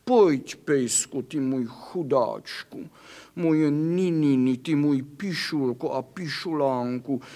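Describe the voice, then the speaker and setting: A man doing a gentle, cooing female cat. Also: a little high, sing-song. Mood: tender, cooing. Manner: tender, cooing with endless pet names.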